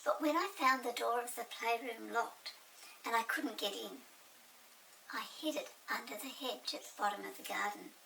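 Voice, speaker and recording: A woman voicing a small, cheeky pixie in a children's storybook, chatty and indignant, with a creaky, squeaky little voice. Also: moderate pace, distant mic, a little hiss or hum, rough recording. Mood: animated, indignant. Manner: animated, teasing, protesting. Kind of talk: children's storybook character reading.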